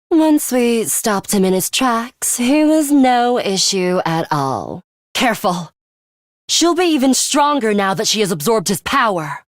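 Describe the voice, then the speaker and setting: A woman's voice: medium pitch, no room sound, clean studio sound. A woman playing a bloodthirsty, disdainful young female student commander in a video game, haughty, taunting and commanding.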